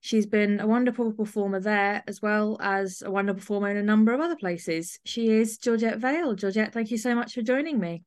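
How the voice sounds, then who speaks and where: A woman's voice: medium pitch, brisk, few pauses. A woman hosting a podcast, asking questions in a conversational interview style. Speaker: podcast host.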